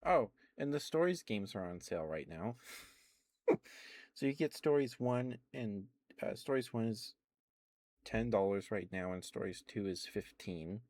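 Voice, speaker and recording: A man streaming ASMR, chatting softly and rambling with frequent fillers and little laughs. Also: on the low side, animated, measured pace, no room sound, clean studio sound. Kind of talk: casual rambling chat.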